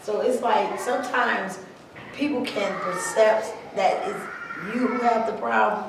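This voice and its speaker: A woman speaks hesitantly in a group discussion, full of likes and restarts. She sits far from the mic in a highly reverberant room. Clear background noise is also audible. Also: measured pace. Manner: hesitant, many fillers. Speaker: workshop participant. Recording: echoey room, from far off, noisy.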